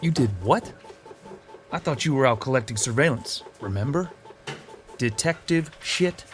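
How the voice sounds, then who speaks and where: A man's voice: medium pitch, lively intonation, a little slow, soft background music, natural voice. A man playing a sarcastic, dismissive police detective in an audio drama. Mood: irritated.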